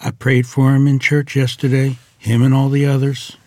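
A man's voice: medium pitch, completely flat delivery, moderate pace, no echo, lightly acted. A man playing a courteous, devout rural piano tuner in a period table read, deferential and folksy. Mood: gentle, somber. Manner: deferential, folksy, gently persuasive.

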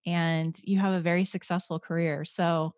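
A woman's voice: lowish voice, flat delivery, normal speed, darkish tone, no room sound. Woman host, encouraging, upbeat, dry close sound. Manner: enthusiastic, encouraging. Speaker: podcast host. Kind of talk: interview hosting.

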